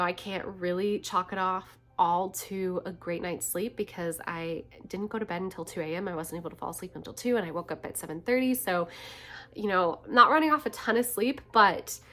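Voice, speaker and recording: Congested, nasal young woman vlogging. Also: lowish voice. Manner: chatty, soft-spoken, often laughing between phrases. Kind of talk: casual diary-style vlog.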